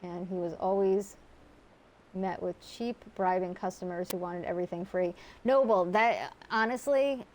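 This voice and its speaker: A woman's voice: lowish voice, fairly even intonation, unhurried, a little mellow. A woman with a Connecticut accent, chatting casually with her viewers as she streams a game and answers chat. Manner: chatty, reads and answers chat, many fillers. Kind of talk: casual live-stream chat while gaming.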